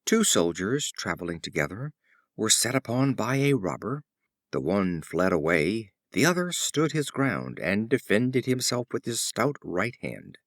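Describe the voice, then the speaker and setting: A man reading classic fables as an audiobook narrator, in an expressive and deliberate storytelling style. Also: on the low side, no room sound, clean studio sound. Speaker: audiobook reader.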